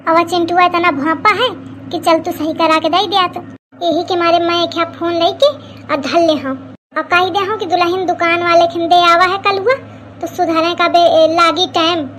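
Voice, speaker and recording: A woman's voice: extremely high pitch, fairly even intonation, moderate pace, fairly bright tone, a little hiss or hum. A woman voicing a plump middle-aged village mother-in-law in an Awadhi cartoon comedy, scolding, gossipy and suspicious, with an Awadhi accent. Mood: scheming, boastful.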